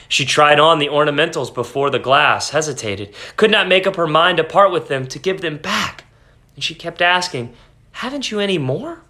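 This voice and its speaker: An expressive male storyteller reading a classic tale aloud, his voice bright and on the higher side. He slips from the text into quick, offhand asides that explain what is happening. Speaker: reader.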